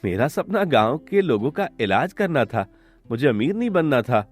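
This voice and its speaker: A man's voice: mid-range voice, big pitch swings, moderate pace, no echo, faint music behind. A man playing an idealistic, respectful young doctor son in a cartoon story. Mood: earnest.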